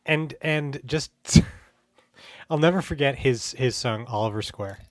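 A man's voice: mid-range voice, slow, dry recording, studio quality. A Canadian man hosting a podcast with casual, joking banter and mock-formal questions. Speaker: podcast host.

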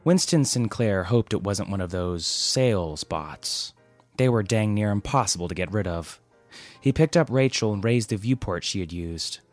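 A man's voice: on the low side, animated, normal speed, dry recording, soft background music, close to an everyday voice. A man performing a hammy sitcom-parody storyteller and cheery family of the future, in a theatrical, exaggerated way. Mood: comic, theatrical.

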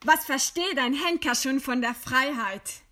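An actress playing a proud, scornful woman writer facing execution in a radio play, with a deliberate, ironic delivery. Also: high voice, bright, forceful tone. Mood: subdued scorn. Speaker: radio drama actor. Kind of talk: German radio play acting.

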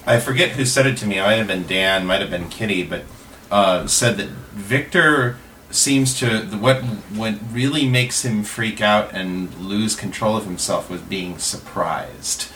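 A man's voice: on the low side, a little slow, pushed and bright. A man talking in a thoughtful, analytical way in a casual group discussion. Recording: distant roomy pickup, clear background noise.

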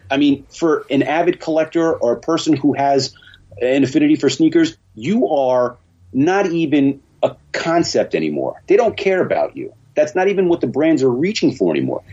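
Muffled, husky man with lively intonation. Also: fairly high pitch, moderate pace. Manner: blunt, explanatory. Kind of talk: casual podcast discussion. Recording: muffled, dull lo-fi call audio.

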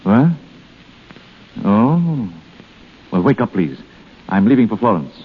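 An actor playing a brisk, urbane schemer in a hurry in a 1930s radio drama. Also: male voice, on the low side, sing-song, breathy edge, full character voice. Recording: tinny, noisy 1930s radio recording.

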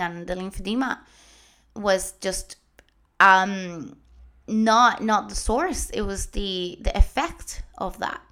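A woman in her late twenties with a Puerto Rican accent, Spanish her first language, telling her own story earnestly with many pauses and restarts. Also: medium pitch, fairly bright tone. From Puerto Rico. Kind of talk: personal story told to followers.

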